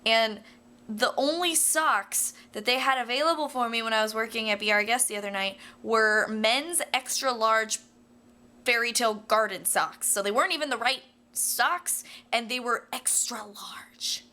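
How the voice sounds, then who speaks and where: A woman's voice: a little high, moderate pace, pushed and bright. A young American woman talking casually to viewers like a video diary, rambling in a playful, self-deprecating way. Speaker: vlogger.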